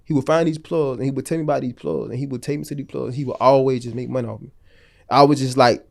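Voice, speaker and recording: A man's voice: medium pitch, fairly even intonation, fast talker, vocal fry. Laid-back man telling street stories. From North Florida. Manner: loose, slangy and rambling, with frequent restarts. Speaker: podcast guest. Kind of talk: casual storytelling interview.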